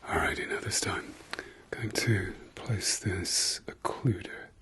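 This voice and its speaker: Slow whispering man, soothing ASMR. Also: low-pitched, steady tone. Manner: slow soft whisper, repetitive soothing praise. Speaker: ASMR creator. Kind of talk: ASMR eye-exam roleplay.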